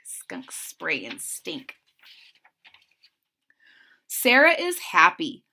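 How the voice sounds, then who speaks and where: A woman narrates a children's story aloud. Her voice is bright and her pitch moves in lively swings. She takes her time, reading expressively and retelling in simple words. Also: drawn-out words, rough recording.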